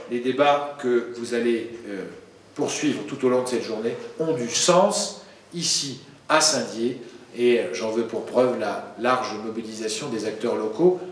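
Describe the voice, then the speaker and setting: Male official, deliberate public welcome speech, very reverberant hall, distant pickup. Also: medium pitch. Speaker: official giving an opening address. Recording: echoey hall, distant mic, faint noise.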